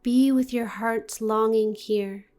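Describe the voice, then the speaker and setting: A woman guiding a meditation in a gentle, deliberate, soothing manner with long pauses. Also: on the high side, steady tone, faint music behind. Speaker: yoga nidra meditation guide. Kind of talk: guided meditation, scripted narration.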